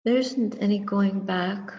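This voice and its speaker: A woman, a Buddhist meditation teacher, giving a guided reflection in a contemplative, measured manner, in short phrases with long pauses. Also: medium pitch, warm tone. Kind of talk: guided meditation and dharma talk. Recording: muffled recording with long reverberant echo.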